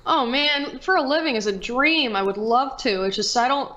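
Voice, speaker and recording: A woman's voice: high-pitched, animated, normal speed, bright, forceful tone, dull audio. A woman chatting casually and candidly as a podcast guest, rambling as she goes. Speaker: podcast guest, video creator and streamer. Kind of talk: casual chat.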